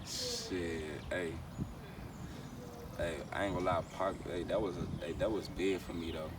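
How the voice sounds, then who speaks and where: Very deep-voiced Louisiana man, loud background noise. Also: very animated, a little slow. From Baton Rouge, Louisiana. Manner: slangy, repeats phrases. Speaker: R&B singer and rapper being interviewed. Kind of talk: casual interview chat. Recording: distant mic, loud outdoor street noise.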